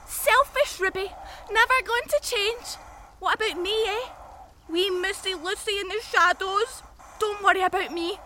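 A woman's voice: extremely high pitch, measured pace, pushed and bright, slight background noise, heavily acted. A woman acting in a horror radio play as an unhinged fifteen-year-old girl who is mocking, volatile and menacing. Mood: bitter, manic.

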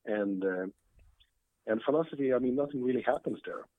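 A man's voice: medium pitch, fairly quick, no room sound. A man from Sweden with a Swedish accent, a guest in a conversational interview who talks hesitantly with many fillers and restarts. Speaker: guest, computer science professor. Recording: tinny phone-like line.

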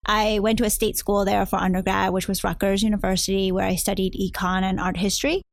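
A woman in her thirties speaks with an American accent as an interview guest. Her pitch is average for a woman, and she answers conversationally. The sound is dry, with very little room around the voice. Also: level tone, brisk, gravelly, dry recording. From United States, New Jersey and New York area. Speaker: interview guest, venture capitalist.

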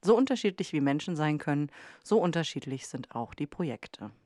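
A woman's voice: deep voice, lively intonation, fairly quick, mellow, no echo, studio quality. A woman reading scripted podcast narration as the host.

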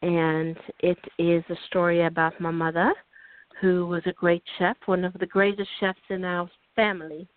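An older woman from South Africa with a South African accent, a guest author telling her life story in a radio interview, unhurried and pausing with ums and restarts. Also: fairly low pitch, flat delivery, no room sound. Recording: dry tinny phone line.

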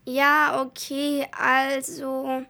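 Young girl playing a dejected high-voiced mother. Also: monotone, very slow, creaky, pushed and bright, heavily acted. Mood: dejected, resigned. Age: child or young teen. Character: dejected mother and disgraced female police chief. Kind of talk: amateur children's radio play acting.

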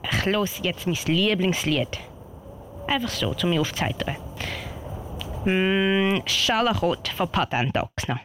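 A woman's voice: fairly low pitch, animated, measured pace. A woman playing a young, earnest girl scout in an amateur radio play, chatting with a Swiss German accent. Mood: relieved, hopeful. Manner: chatty, thinking aloud. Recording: slightly noisy amateur recording.

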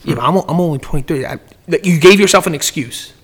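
A man's voice: a little high, quick pace. A man in casual podcast chat, giving business advice in a rambling way, often repeating words and restarting sentences.

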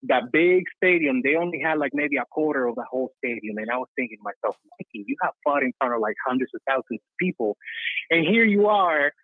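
A man's voice: mid-range voice, lively intonation, fast talker, no room sound. A man from Cuba with a Cuban Spanish accent, calling in to vent casually and laughing as he talks. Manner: venting, laughing, easygoing. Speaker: caller. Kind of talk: casual phone-in chat. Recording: tinny dry phone line.

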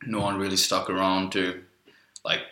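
A man's voice: deep voice, monotone, moderate pace, pushed and bright. A young man from Sri Lanka, a guest chatting casually and loosely, with many fillers and frequent swearing. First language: Sinhala. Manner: rambling, lots of fillers and swearing. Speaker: guest artist. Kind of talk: casual podcast chat. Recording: echoey room.

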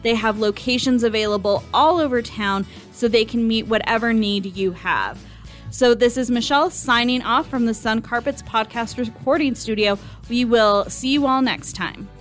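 A woman's voice: on the high side, normal speed, faint music behind. A woman hosting a podcast interview in a studio, encouraging her guest with frequent short replies. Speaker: podcast host.

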